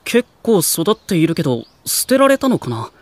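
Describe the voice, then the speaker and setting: Easygoing anime boy hero, lively. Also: fairly high pitch, moderate pace, dry recording. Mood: wondering. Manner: casual, gentle, good-natured. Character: easygoing, kind teenage boy master, mildly henpecked.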